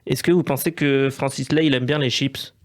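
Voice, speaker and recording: A man's voice: medium pitch, steady tone, moderate pace. A man presenting a scripted comic radio segment, telling it in a hesitant, self-deprecating way. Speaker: radio comedy columnist.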